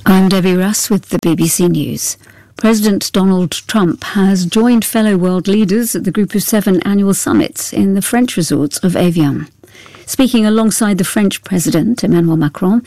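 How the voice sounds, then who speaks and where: A woman reads the world news in a calm, formal, measured delivery. Her voice is mellow, and the recording is dry and close. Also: medium pitch, dry recording. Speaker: newsreader. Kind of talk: scripted news bulletin.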